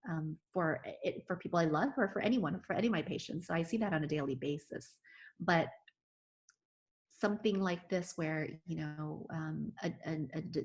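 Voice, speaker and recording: A woman physician giving an oral history interview, talking earnestly with many restarts and fillers. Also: low-pitched. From United States.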